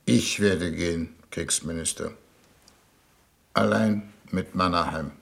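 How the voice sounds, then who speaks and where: An actor playing a weary, haughty male foreign minister on a mission, deliberate and formal, in 1950s German radio drama style. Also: low voice, vocal fry, a bit bright, heavily acted. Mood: formal, cold. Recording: 1950s radio recording, background noise.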